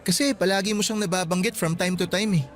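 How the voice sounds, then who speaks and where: A man's voice: high-pitched, steady tone, fast talker, faint music behind, close to an everyday voice. An actor playing a young male student boyfriend in a radio drama, playful and teasing but frank when he turns serious.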